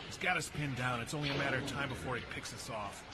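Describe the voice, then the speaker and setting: An actor playing a sardonic, protective space smuggler in a sci-fi audio drama, casual but quick to bark. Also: male voice, mid-range voice, loud music behind the voice, natural voice. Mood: tense, protective. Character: wry, grumbling male smuggler, brave protective husband. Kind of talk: sci-fi radio drama acting. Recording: noisy sound effects and ambience.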